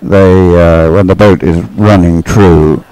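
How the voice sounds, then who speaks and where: A man's voice: sits low, fairly even intonation, slow pace, warm tone, clean tone, poor audio. A man giving casual, explanatory live race commentary as a sports commentator.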